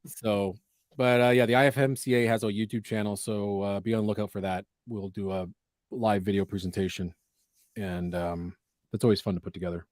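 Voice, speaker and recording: A man's voice: fairly low pitch, flat delivery, darkish tone, no room sound. Rambling man, dry close voice. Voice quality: scratchy voice from allergies. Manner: rambling, quick asides. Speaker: podcast host. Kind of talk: casual livestream chat.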